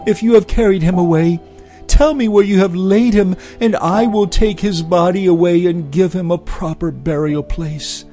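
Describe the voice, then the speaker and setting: A man voicing a grieving young woman, high and emotional, with faint music behind. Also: big pitch swings, measured pace, long pauses, warm tone, full character voice. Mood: pleading. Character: grieving young woman, desperate then overjoyed.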